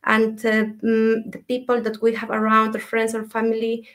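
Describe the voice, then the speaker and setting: A woman speaks softly and thoughtfully. Her voice sits fairly high, but her pitch hardly rises or falls, and she keeps her level tone. She often hesitates as she tells her story in an interview. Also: monotone, moderate pace. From Ecuador. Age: 30s. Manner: soft, reflective, many hesitations. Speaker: guest, dream mentor.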